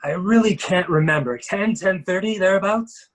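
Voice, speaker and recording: A man's voice: high voice, normal speed, natural voice. A man playing a polite, apologetic army major in a murder mystery reading, courteous and slightly hesitant. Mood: sheepish, evasive.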